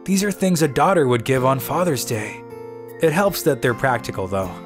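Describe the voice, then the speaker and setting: A self-deprecating young salaryman acted by a man tells his own manga story. His voice sits at a middling pitch, with music playing behind him. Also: very animated, normal speed, close to an everyday voice. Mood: reflective. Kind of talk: manga story narration and dialogue acting. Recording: music under the voice.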